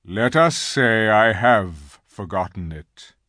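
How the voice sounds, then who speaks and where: A performer voicing a grave, majestic male lion king in a storybook, stern and measured with a growl. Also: very animated, fairly bright tone, no echo, clean studio sound. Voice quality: low growl.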